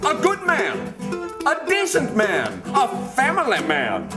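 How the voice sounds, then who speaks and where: An actor playing a fervent revival preacher who pitches to the faithful in rhythmic, half-sung exclamations. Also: male voice, wildly swooping pitch, takes it slowly, full character voice. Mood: fervent, imploring. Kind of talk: half-sung sermon parody. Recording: music behind the voice.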